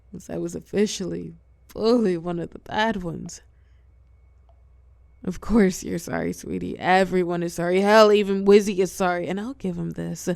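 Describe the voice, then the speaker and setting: A woman playing a weary telepath supervillain and fallen hero, tender yet menacing, in an intimate audio roleplay with dramatic pauses. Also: lowish voice, creaky, a little mellow, no room sound. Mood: pained, bitter. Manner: soothing pet names, wry bitterness, dramatic pauses, drops into whispers.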